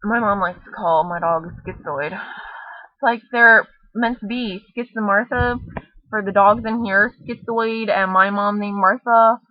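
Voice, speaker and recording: A woman with a slightly husky voice casually reading a picture book aloud, rambling into playful, mock-dramatic chatty asides on a laptop microphone. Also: medium pitch, steady tone, unhurried, dry recording. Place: at home, close to a laptop on a bed. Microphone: laptop webcam microphone. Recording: muffled lo-fi laptop webcam audio.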